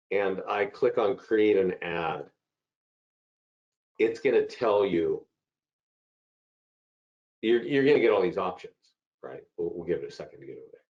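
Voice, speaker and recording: A man with a hoarse voice from a cold, hosting a live software webinar and chatting through questions from viewers. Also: lowish voice. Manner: chatty, repeats himself, answers chat questions. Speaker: webinar host. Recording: dull lo-fi call audio.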